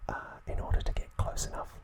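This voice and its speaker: A man hosting ASMR, whispering in a breathy, unvoiced voice with gentle, deliberate phrasing. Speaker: ASMR channel host. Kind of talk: whispered ASMR narration and trivia.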